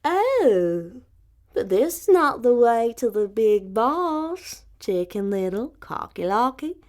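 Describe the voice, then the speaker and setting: A woman acts out a sly storybook fox who speaks slowly and deliberately. His lines swoop up and down as he smoothly coaxes his prey along. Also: a little high, big pitch swings, voice pushed up. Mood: sly, coaxing. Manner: slow, deliberate, coaxing. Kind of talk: fairy tale storytelling.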